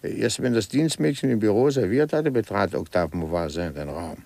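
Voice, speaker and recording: An older male actor playing a methodical retired police inspector in a 1950s German radio drama, gravelly and emphatic, with dry amusement. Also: fairly low pitch, moderate pace, darkish tone, no room sound. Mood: dryly amused.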